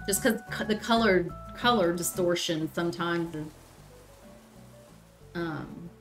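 A woman in her thirties with a Southern US accent, chatting casually on a gaming stream, reading chat aloud and often pausing with ums. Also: fairly low pitch, music in the background, rough recording. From Southern United States. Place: small room indoors. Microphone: headset.

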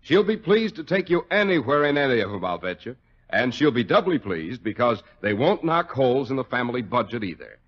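A man's voice: medium pitch, very animated, a little fast. A man with an American accent playing a genial, polished announcer and emphatic salesman in a scripted 1940s radio show. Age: young adult. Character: genial announcer visiting an old doctor. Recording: dull, lo-fi 1940s radio recording.

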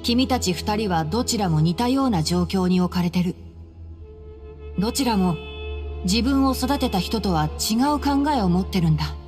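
An actor playing a calm, tactful young male scholar in a Japanese anime game, gently explaining in measured phrases. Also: sits high, soft background music.

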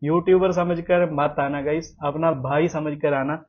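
A man's voice: fairly high pitch, steady tone, a little slow, dull audio. A young man hosting a casual home vlog, chatting to viewers and repeating himself often. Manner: chatty, repeats himself, sprinkles English words. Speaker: vlogger host. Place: small room at home, indoors.

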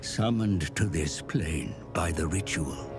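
A man playing an ominous storyteller, narrating a dark fantasy game in a portentous, theatrical manner. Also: deep voice, slow pace. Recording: loud music under the voice. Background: dramatic music.

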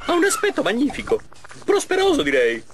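A vain mad scientist gloats in a high voice that swoops widely. He is theatrical and smug, mocking everyone as a cartoon game villain. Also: huge pitch swings, a little fast, a little hiss or hum, exaggerated voice. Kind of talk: animated game cutscene dub.

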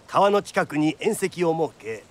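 An actor narrating a period radio drama as a theatrical kodan storyteller, formal and declamatory. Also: male voice, fairly high pitch, expressive, a little slow. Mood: dramatic narration. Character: lively traditional storyteller narrating a period tale.

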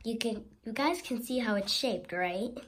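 A woman's voice: a little high, normal speed. A woman reading a picture book aloud for young viewers. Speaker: amateur children's book reader.

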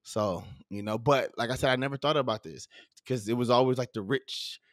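A man's voice: mid-range voice, a little fast, no room sound. A man, hosting a casual podcast interview, talking loosely with many fillers and restarts on a studio mic. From southern United States. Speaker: podcast host. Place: home studio. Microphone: studio mic with headphones.

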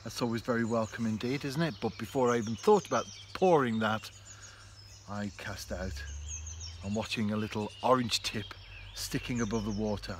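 A man, talking to camera in a relaxed, reflective way while fishing on a canal bank. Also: fairly low pitch. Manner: chatty, reflective, speaks straight to camera. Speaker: fishing vlogger. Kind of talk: relaxed outdoor vlog narration. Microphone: camera close in front. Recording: faint outdoor noise. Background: birds and countryside ambience.

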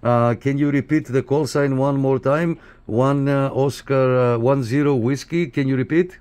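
A man's voice: medium pitch, fairly even intonation, normal speed, darkish tone. A man making casual CB radio contact, friendly and often repeating his phrases. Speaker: CB radio operator and video maker. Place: indoor room at a radio desk.

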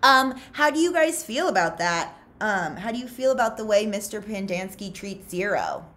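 A woman in her thirties reading a children's novel aloud in an expressive, deliberate storytelling style. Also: mid-range voice. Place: small furnished room indoors.

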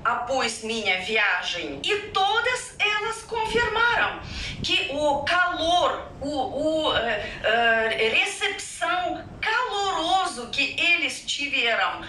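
Russian-accented woman, bright and high. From Russia (Siberia). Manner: animated, halting with fillers and restarts. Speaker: featured vlogger. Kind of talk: reacted-to vlog, casual talk to viewers. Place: indoor room with yellow sofa and painting. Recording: roomy, distant, faint noise.

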